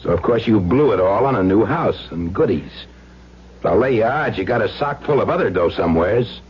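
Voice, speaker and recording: Casting: male actor as a menacing street-tough extortionist, low swooping delivery, slangy taunts, radio play on a muffled recording. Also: animated, fairly quick, lightly acted. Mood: taunting, threatening. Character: crude blackmailing husband back from presumed death, slangy and menacing. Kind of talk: old-time radio drama acting. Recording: dull lo-fi radio recording, faint hum.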